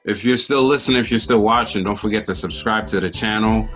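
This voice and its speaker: Very deep-voiced laid-back man. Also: a little fast, a bit bright. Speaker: podcast co-host. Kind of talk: casual sports podcast chat. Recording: faint music behind.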